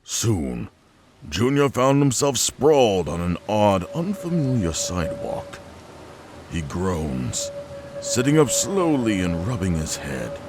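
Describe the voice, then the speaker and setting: A male narrator reads a dramatic scripted story. His fairly low voice moves slowly and swings extremely widely in pitch, with music clearly behind him. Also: big pitch swings, background noise.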